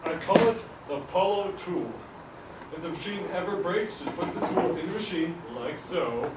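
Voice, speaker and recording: A cheerful, folksy inventor dad, acted by a young man in a puppet show. His slightly high voice is playful and encouraging, heard from a distance in a very noisy, echoing hall. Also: expressive, moderate pace. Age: young adult. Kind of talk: live puppet show acting.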